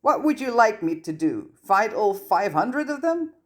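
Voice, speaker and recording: A narrator voices a wry wizard police chief in a storybook tale. He is a man who sounds unbothered and sardonic, asking dry questions in a lifted pitch. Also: sing-song, unhurried.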